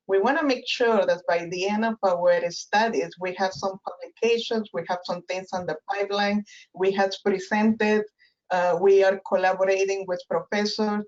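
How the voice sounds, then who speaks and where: A woman's voice: mid-range voice, a little mellow. Woman, Spanish accent, Spanish native speaker, webinar guest on a video call, reflective and hesitant delivery, dull lo-fi audio. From Spanish-speaking country. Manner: thoughtful, many fillers and restarts. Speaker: guest professor. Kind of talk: webinar interview.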